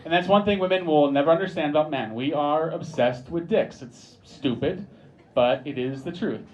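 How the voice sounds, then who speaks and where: A man's voice: fairly high pitch, moderate pace, darkish tone, dull audio. A young American man performing a stand-up set in a pub, dry and wry, with mock-sincere asides. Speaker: stand-up comedian. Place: pub comedy stage with a live audience. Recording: live pub recording, crowd laughing. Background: audience laughter.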